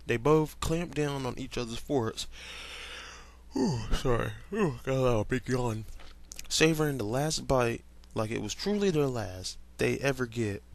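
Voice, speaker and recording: A young man reading fan fiction aloud in a casual way, stumbling over words and breaking off to comment and laugh. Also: medium pitch.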